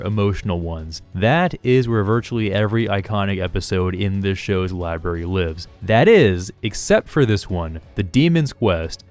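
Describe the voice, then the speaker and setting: Man, lower pitch, scripted review narration, casual asides, enthusiastic emphasis, faint music behind. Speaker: video essayist, reviewer. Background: background music.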